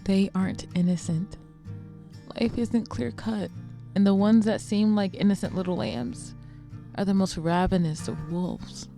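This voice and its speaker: A woman's voice: medium pitch, unhurried, mellow, soft background music, natural voice. A woman playing a cool, proud, world-weary scarred vigilante in a superhero radio drama. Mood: bitter.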